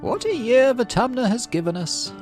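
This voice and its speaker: A man's voice: very animated, normal speed, darkish tone, soft background music. A man voicing a proud female governor with a thin, weedy voice, making a formal speech in a narrated game. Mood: proud, stately. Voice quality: thin, weedy, high falsetto. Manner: stately speechmaking.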